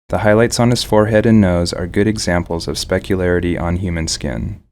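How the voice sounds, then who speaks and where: A man's voice: low voice, a little mellow, a little hiss or hum. A man reading scripted developer commentary as a game artist explaining a process.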